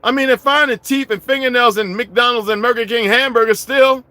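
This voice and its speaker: A middle-aged man delivering a heated, profane vlog rant, swinging from low muttering to shouting. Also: fairly even intonation, brisk, no room sound. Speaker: vlogger. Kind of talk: profane ranting monologue.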